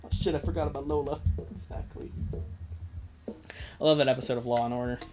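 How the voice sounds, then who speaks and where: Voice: mid-range voice, steady tone, a little fast, music in the background. A streamer hosting a live fan fiction reading, joking and breaking into laughter between the lines. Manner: jokey, breaks into laughter, exclamations. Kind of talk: livestream dramatic reading with joking asides.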